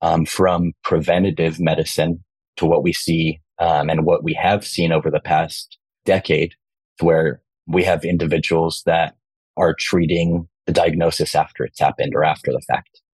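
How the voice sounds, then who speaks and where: A man guest in an interview, talking in a measured, steady way with frequent ums and uhs. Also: a bass voice, slightly rough, dry recording. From Texas. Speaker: guest, rehab director. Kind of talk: interview answers. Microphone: remote call.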